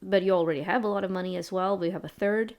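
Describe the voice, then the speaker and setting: A woman's voice: mid-range voice, steady tone, fast, darkish tone. A young woman from Sweden running a tabletop role-playing game as game master, chatting casually with frequent laughs and restarts.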